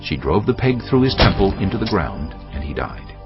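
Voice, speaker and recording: A man with a deep voice narrates scripture as scripted drama. His pitch moves expressively through the story, and soft music sits behind him. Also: sing-song, normal speed, lo-fi sound.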